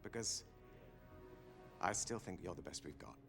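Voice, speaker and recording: A man's voice: deep voice, normal speed, gravelly, a bit bright, soft background music. A man playing a blunt, sardonic army officer in a game cutscene, with wry, theatrical emphasis. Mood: wry, warm. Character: sardonic, blunt male army officer, loyal old colleague. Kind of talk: scripted game cutscene drama.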